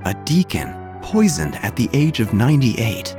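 A man's voice: medium pitch, big pitch swings, normal speed, over music. A man narrating scripted comic horror in a wry, theatrical manner.

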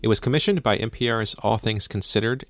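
A man hosting a podcast, chatting in a relaxed, conversational way and reading ads with light hesitations. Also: lowish voice, quick pace, dry recording. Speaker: podcast host. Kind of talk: chatty intro and ad reads.

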